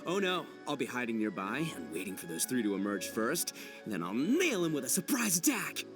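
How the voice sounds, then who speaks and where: A man's voice: mid-range voice, huge pitch swings, a little fast, music in the background. An actor playing a brash, overconfident, good-natured but dim young male gang boss in a video game dub, boisterous and slangy. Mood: cocky, scheming.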